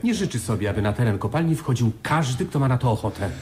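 An actor playing an assertive, stonewalling chief engineer in a radio play, formal and emphatic. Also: male voice, medium pitch, animated, fast talker, darkish tone, natural voice. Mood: cool, firm. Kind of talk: 1980s Polish radio play acting.

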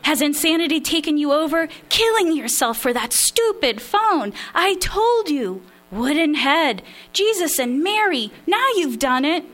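Lady doing her polish dad yelling, thick accent, high and loud, frantic scolding. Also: very animated, unhurried, hardly any pauses, heavily acted. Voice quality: loud, exclamatory. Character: excitable older Polish immigrant father, scolding.

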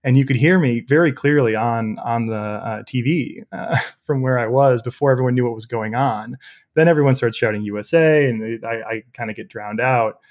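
A young man with a loud voice, a podcast guest telling stories casually with many fillers. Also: medium pitch, normal speed. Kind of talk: casual storytelling interview.

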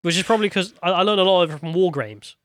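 Podcast guy, brightish higher voice, chatty and laughy, dry close mic. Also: rapid-fire, no echo. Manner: chatty, laughs easily, reads viewer comments aloud. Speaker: podcast co-host. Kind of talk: casual podcast chat.

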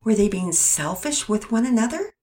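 A patient, soft-spoken storybook father who asks mild, careful questions, as a woman reading aloud puts him on. His voice is gentle and a little higher than the reader's own. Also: big pitch swings, normal speed.

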